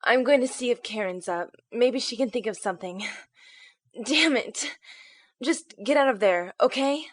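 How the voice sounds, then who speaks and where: A woman's voice: fairly high pitch, expressive, moderate pace. Woman as a blunt, commanding team leader. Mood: frustrated, commanding. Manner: blunt, urgent, authoritative. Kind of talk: audio drama military acting.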